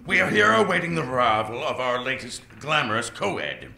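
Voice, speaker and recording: Sly mad scientist, male, acted by a man; bright, singsong delivery, faint hiss behind. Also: mid-range voice, big pitch swings, creaky, full character voice. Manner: slow, drawling and sly, with purring pauses. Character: sinister, scheming older male mad scientist. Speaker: research scientist. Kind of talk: amateur radio-play acting. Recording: roomy echo, faint hiss.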